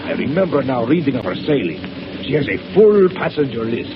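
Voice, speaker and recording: Obedient submarine officer, crisp replies, old dull-sounding radio broadcast. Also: male voice, medium pitch, big pitch swings, fairly quick, background noise. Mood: dutiful. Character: dutiful male submarine officer. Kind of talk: 1930s radio acting.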